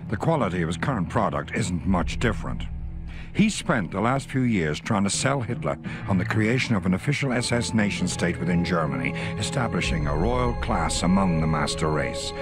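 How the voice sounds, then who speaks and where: An actor playing a grave, wry Allied intelligence officer giving a measured, authoritative mission briefing in a war video game. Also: male voice, sits low. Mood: dry contempt. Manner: measured, authoritative, dryly contemptuous of the enemy. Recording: music under the voice.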